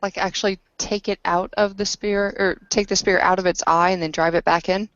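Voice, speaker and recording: A woman plays a tabletop roleplaying game. She talks casually and gropes for words as she improvises. Her pitch is average and the recording is dry and slightly dull. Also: fairly even intonation, brisk, a bit bright, no room sound.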